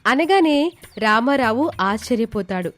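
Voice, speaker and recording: A woman's voice: fairly high pitch, expressive, a little slow. A woman reading a scripted moral tale as the narrator, in storytelling style. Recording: background music behind the voice. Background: music.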